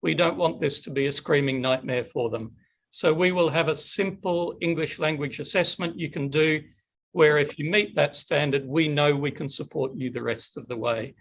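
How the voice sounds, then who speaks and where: A man's voice: fairly high pitch, normal speed, creaky, fairly bright tone. A middle-aged man hosting a webinar Q&A on a video call, chatty and upbeat. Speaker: webinar host. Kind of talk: casual webinar Q&A.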